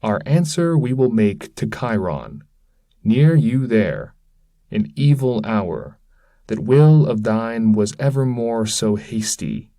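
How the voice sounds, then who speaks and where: A man voicing a calm, authoritative poet guide in a verse reading, explaining things solemnly and formally. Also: medium pitch, takes it slowly, no echo. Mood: solemn, firm.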